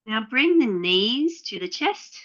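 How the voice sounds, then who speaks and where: A woman yoga teacher guiding meditation and breathing in a measured, soothing way, leaving long pauses between phrases. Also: a little high, big pitch swings, a bit bright. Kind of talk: guided yoga and meditation instruction. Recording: dull, slightly lo-fi sound.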